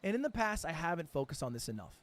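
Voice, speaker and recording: A man's voice: fairly high pitch, sing-song, fast talker. A man giving emphatic, swearing motivational advice to streamers in a coaching tone.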